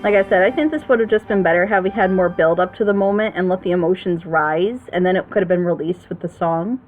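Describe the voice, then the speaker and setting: A woman's voice: fairly low pitch, fast talker, narrow, tinny audio, soft background music. A woman giving casual, opinionated commentary as a panelist in a group reaction session. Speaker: animator.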